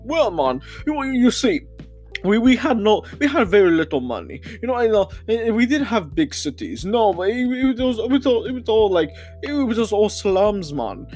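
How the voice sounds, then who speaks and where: A man's voice: sits high, big pitch swings, normal speed, a little mellow, soft background music. A man voicing a stammering male warehouse supervisor with a put-on Trinidadian accent, who repeats words and ends lines with "man". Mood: indignant. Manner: stammering, touchy and indignant. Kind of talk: improvised comedy podcast character.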